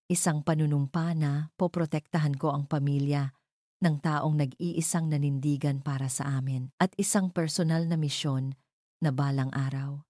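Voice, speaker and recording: An earnest, loyal lawyer from a dramatic story, acted out by a woman narrator. The voice is low and mellow, with careful, emotional weight on the words. It is recorded close and dry. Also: no echo, lightly acted. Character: earnest adult lawyer recounting a father's disgrace, solemn and loyal.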